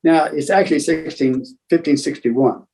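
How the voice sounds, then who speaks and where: An older man telling casual anecdotes as a guest book collector, in a rambling, halting way. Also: fairly high pitch, fairly even intonation, gravelly, warm tone, slight background noise. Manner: rambling, halting raconteur who acts out remembered exchanges. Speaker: museum founder and book collector, guest storyteller.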